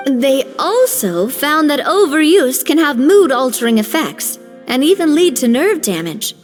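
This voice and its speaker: A calm former head chef from a video game, dignified and measured. Her voice sits high and moves with lively rises and falls. A long reverb follows it with faint music behind. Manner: composed, measured, firm.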